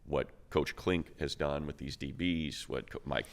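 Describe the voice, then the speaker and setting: A man's voice: rumbling low, steady tone, a little slow, gravelly. A man co-hosting a sports talk show, giving emphatic, conversational football analysis.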